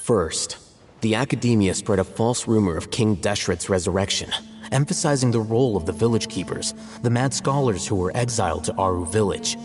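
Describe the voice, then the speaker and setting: A composed, analytical male scholar from a game, as a man voices him, laying out his reasoning in a calm, measured, lecturing way. His voice sits fairly low. It carries a long reverb tail, with soft game music underneath. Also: natural voice. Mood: explaining. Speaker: academy scribe. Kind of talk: game cutscene voice acting.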